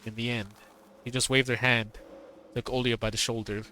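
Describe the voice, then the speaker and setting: A male streamer reading a visual novel's dialogue aloud, his voice tired and strained, halting and repeating words between laughs. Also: mid-range voice, little pitch movement, sharp tone, slight background noise. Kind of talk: reading game dialogue aloud, then casual chat.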